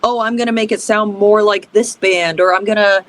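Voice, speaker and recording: A woman's voice: mid-range voice, fairly even intonation, moderate pace, no room sound. A young adult woman from Canada, a band's lead singer, talking in a casual, upbeat interview with lots of fillers. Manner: chatty, upbeat, many fillers. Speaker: band singer and guest.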